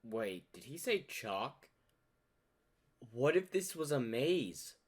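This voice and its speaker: A man's voice: medium pitch, big pitch swings, slow, very noisy. A man hosting a reaction video, talking casually and speculatively, stretching words for emphasis.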